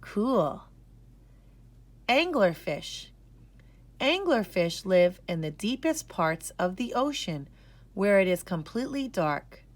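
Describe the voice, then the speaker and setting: Slow, expressive woman reading to children. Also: medium pitch. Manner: expressive, measured, careful enunciation. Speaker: children's storybook reader. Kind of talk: scripted read-aloud narration.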